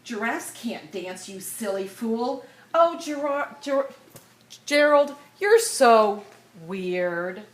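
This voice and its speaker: Taunting jungle animals voiced by a woman, raised pitch. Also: lively intonation, slow. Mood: sneering. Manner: taunting, scornful. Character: sneering, mocking crowd of jungle animals. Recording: echoey room, distant mic, noisy background.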